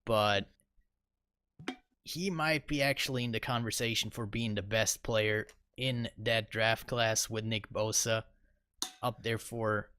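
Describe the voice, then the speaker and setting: A man's voice: on the low side, fairly even intonation, takes it slowly, bright, forceful tone, clean tone, dry recording. A young man co-hosting a sports podcast, giving casual, rambling analysis with lots of fillers. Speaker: podcast co-host.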